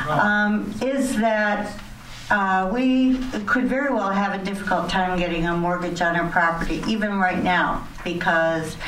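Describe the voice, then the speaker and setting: A woman speaking as a resident at a public town meeting, hesitant and measured as she gives her comment. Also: lowish voice, a bit bright. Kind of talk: public comment, partly prepared. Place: community center meeting hall. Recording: echoey, distant, noisy meeting-hall recording.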